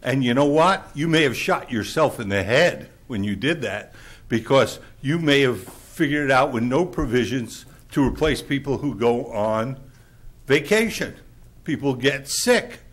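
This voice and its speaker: Loud, blunt man giving public comment. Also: mid-range voice, animated, measured pace. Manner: blunt, exasperated, lecturing. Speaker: public commenter at a city council meeting.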